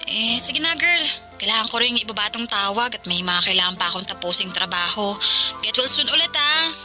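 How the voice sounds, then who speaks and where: Bright, chatty office friend. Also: female voice, mid-range voice, expressive, fairly quick, soft background music. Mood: friendly. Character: cheerful young woman office friend on a call.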